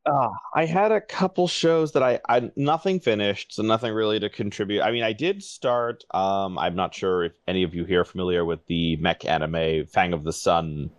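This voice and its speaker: A man's voice: on the low side, normal speed, hardly any pauses, dry recording. A man in a casual podcast chat, giving enthusiastic, rambling recommendations. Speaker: podcast panelist.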